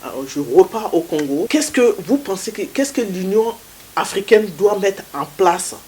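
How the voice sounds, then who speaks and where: A woman's voice: mid-range voice, animated, moderate pace, background noise. A woman interviewer asking earnest, emphatic questions.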